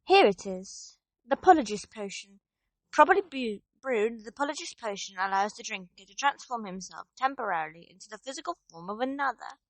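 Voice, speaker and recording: A woman's voice: on the high side, animated, a little fast, no echo, low-quality recording. A woman playing an earnest, bookish schoolgirl witch in an audio play, precise and insistent. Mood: earnest, knowing.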